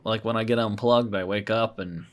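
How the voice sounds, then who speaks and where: A man with a slightly low voice hosts a casual podcast. He talks in a laid-back, noncommittal manner, as in an easy chat about whatever comes up. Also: steady tone, moderate pace. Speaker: podcast host and video creator.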